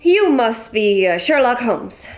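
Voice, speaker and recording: An actress playing a brash, folksy American widow from the West with a Western American accent, talking loud and blunt. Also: female voice, a little high, sing-song, slow, soft background music. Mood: hearty. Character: brash American widow, bossy ranch-style lady. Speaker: Mrs. Gibson. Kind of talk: old-time radio drama acting.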